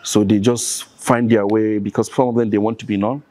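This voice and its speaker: Low-voiced Nigerian man, earnest. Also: flat delivery, moderate pace, mellow, dry recording. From northern Nigeria. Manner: earnest, lists examples insistently. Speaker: podcast guest. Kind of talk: conversational interview.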